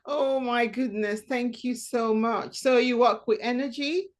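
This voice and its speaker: A woman's voice: fairly high pitch, measured pace. Effusive woman podcast host. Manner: effusive, enthusiastic. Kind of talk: interview hosting.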